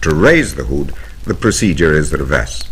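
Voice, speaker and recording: A British man reading a scripted instructional narration in a formal, precise, measured way, from a 1970 vinyl record soundtrack. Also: a bass voice, big pitch swings, fairly bright tone, husky. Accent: British English. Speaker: training film narrator.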